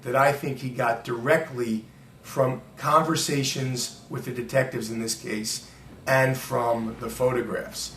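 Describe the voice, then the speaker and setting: A man's voice: mid-range voice, fairly even intonation, unhurried, crowd noise. A man giving expert commentary in an interview over a speakerphone. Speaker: academic expert on false confessions. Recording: roomy, distant speakerphone with faint noise.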